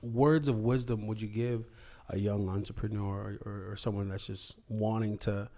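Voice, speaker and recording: A man hosting a casual podcast interview, supportive and quick with affirmations. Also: fairly low pitch, fairly even intonation, warm tone. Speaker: podcast host.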